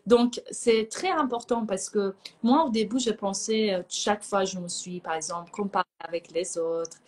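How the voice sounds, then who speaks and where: A woman's voice: mid-range voice, moderate pace. Iranian woman speaking reflectively. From Iran. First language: Persian. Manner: reflective, searching for words. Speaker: guest, psychologist. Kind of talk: casual conversation.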